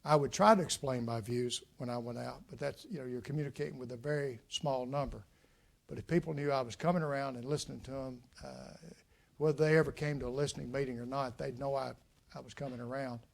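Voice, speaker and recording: An older man with a drawl talks to an audience in a lecture room, folksy and unhurried. His voice carries a gravelly edge and frequent "uh" pauses as he reflects on his answers. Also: medium pitch, steady tone. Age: 60s. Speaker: guest speaker, former state legislator. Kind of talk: public talk with audience questions.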